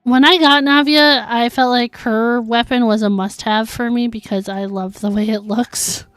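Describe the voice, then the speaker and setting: A woman's voice: a little high, fairly even intonation, moderate pace, a bit bright, clean tone, no room sound, faint music behind. A woman livestreaming a video game, chatting casually and thinking aloud as she answers viewers. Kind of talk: casual chat while playing a video game.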